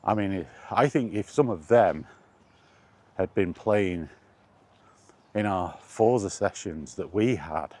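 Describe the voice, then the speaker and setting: A man's voice: sits low, slow pace, long pauses, darkish tone. A British man chatting casually in a wry, colloquial way, like a solo vlogger rambling through his thoughts. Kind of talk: casual rambling chat about gaming.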